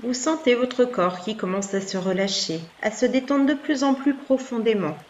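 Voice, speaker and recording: A woman leads a guided meditation as a yoga nidra teacher. She gives gentle, soothing instructions at an unhurried pace, leaving long pauses. The recording is slightly dull and lo-fi, with running water and noise behind her. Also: fairly high pitch.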